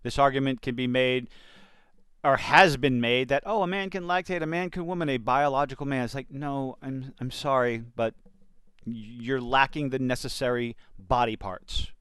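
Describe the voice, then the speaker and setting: Man, opinionated commentary, sardonic and emphatic, smooth clear voice, close dry recording. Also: medium pitch, normal speed, fairly bright tone, no room sound. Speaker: commentator reacting to entertainment news. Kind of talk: opinionated commentary with quotes read out.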